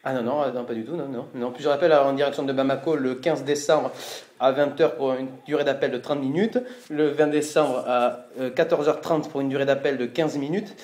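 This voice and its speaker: A man's voice: mid-range voice, steady tone, fairly quick, a little mellow, lightly acted. A man playing a fussy, stammering telecom billing adviser in a prank phone call, formally polite and apologetic yet insistent. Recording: roomy, echoey room sound.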